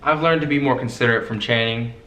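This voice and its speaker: Easygoing young guy co-host, mid-pitched, roomy echo. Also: steady tone, fast talker, bright, forceful tone. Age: young adult. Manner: laid-back, easygoing, fillers like "you know". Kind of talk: casual couple Q&A chat. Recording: echoey room.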